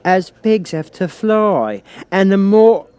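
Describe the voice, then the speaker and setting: A man voicing a gushing, clingy old Duchess for an audiobook, with a cloying, pompous manner and drawn-out exclamations. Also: high-pitched, expressive, a little mellow, clean tone, pitched above the performer's own voice. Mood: pompous, pleased. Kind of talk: audiobook character voice.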